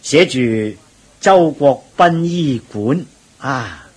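Agreeable monk companion, mid-pitched and husky, chatty, Cantonese radio drama. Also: male voice, lively intonation, extremely slow, darkish tone, full character voice. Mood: cheerful, observant. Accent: Cantonese, Hong Kong.

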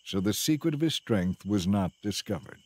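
A man narrating a dramatized Bible story in a measured, scripted storytelling style. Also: on the low side, lively intonation, darkish tone, no echo.

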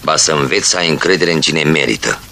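A stern official with a very deep voice. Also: male voice, creaky, bright, forceful tone, a little hiss or hum, full character voice. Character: stern older party official, commanding and ideological. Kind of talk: vintage film acting.

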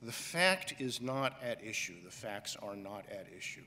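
Man, middle-aged, keynote speaker, slow and deliberate, emphatic, podium mic, reverberant auditorium. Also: fairly low pitch, animated, pushed and bright. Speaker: conference director giving a keynote. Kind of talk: keynote speech. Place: large auditorium stage, indoors. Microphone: podium microphone. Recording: reverberant hall with faint room noise.

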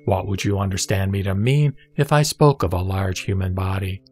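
A man playing the Buddha as a serene teacher, reciting scripture in a measured, didactic, emphatic way. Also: low voice, a little mellow, faint music behind. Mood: calm, instructive. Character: the Buddha, serene male spiritual teacher instructing a disciple. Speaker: sutra audio drama reader.

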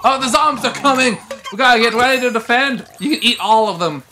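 A man dubbing an eager, bossy rookie plant soldier for a comedy video game, excitable and nagging. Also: extremely high pitch, fast talker. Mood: excited, urgent. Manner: excitable, nagging, often shouting. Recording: game music behind the voice.

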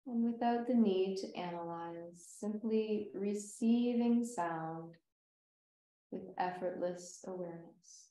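A woman guides a yoga nidra meditation in a mellow, slightly low voice. She speaks gently and very slowly, leaving long pauses between phrases. The recording has a noticeably roomy echo.

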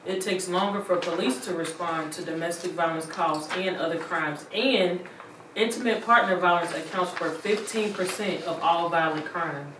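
A woman's voice: on the low side, moderate pace. A woman reading a scripted public-awareness announcement as a cast member presenting a trailer. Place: small living room, seated before camera. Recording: noisy echoey room, distant mic.

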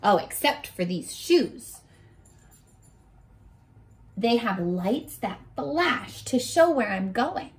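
A young woman with a high voice reads aloud like a primary teacher. Her pitch swings expressively and she takes her time, speaking directly to small children as she goes through a picture book. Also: very animated, takes it slowly, pauses often. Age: 20s. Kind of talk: children's read-aloud with simple questions to listeners. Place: small furnished bedroom, indoors.

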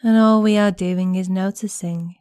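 A woman guiding a meditation in a gentle, soothing, unhurried manner with long pauses between phrases. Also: medium pitch, fairly even intonation, clean tone, dry recording, clean studio sound. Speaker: guided meditation instructor. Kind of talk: guided body scan meditation narration.

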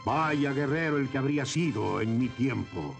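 A man's voice: medium pitch, expressive, normal speed, creaky, soft background music. An actor playing a proud, formal ancient warrior king in a Latin American Spanish cartoon dub. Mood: proud, solemn. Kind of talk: animated series dubbing.

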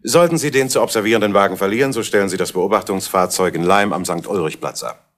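A man's voice: low voice, fast talker, close to an everyday voice. A man playing a smug scheming detective boss. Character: scheming male detective agency boss Alfons. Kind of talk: 1970s radio crime drama.

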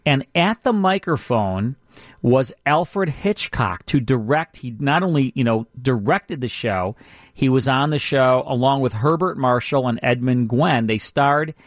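A man's voice: mid-range voice, lively intonation, measured pace, dry recording, clean studio sound. A man with an American accent hosting a radio show, chatting informally and repeating himself now and then. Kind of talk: casual radio banter and show promos. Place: radio studio.

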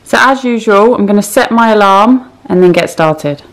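A woman's voice: medium pitch, animated, moderate pace. Woman, 30s, casual home vlogger, talks on the move, roomy kitchen echo. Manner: chatty, self-mocking, talks while moving and tidying. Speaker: cleaning vlogger. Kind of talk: casual clean-with-me vlog chat. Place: home kitchen and rooms, indoors. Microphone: camera mic, handheld and set on the counter. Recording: echoey kitchen room.

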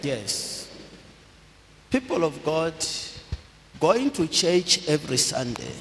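A middle-aged pastor speaks with a slightly raised voice and a measured, unhurried delivery, prompting his congregation to repeat after him. He preaches into a handheld microphone, and his words ring out with a long reverb through a large church hall. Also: fairly high pitch, wildly swooping pitch, vocal fry. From Zambia. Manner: slow, deliberate preaching with call-and-response prompts. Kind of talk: sermon.

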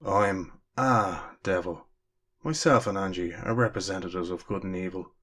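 Man acting deep, husky, deadpan devil. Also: normal speed. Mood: dry, matter-of-fact. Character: calm, dry male devil judging souls. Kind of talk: radio drama acting.

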